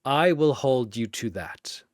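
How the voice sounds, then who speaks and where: A man acts out a grim warrior god from a fantasy battle story. He is stoic and respectful, worn by fighting. The delivery is unhurried and solemn, recorded very dry. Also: medium pitch, lively intonation, no echo.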